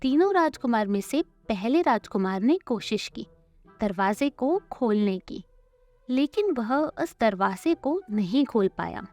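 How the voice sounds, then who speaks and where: A woman with a slightly high voice tells a scripted children's story. She narrates as a storyteller, with soft music playing behind her. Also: lively intonation, unhurried, highs cut off.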